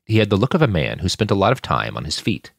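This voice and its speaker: A man narrates a fiction podcast in a deep voice. His scripted delivery swings widely in pitch for drama. The recording is dry and close. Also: big pitch swings, fast, gravelly, dry recording.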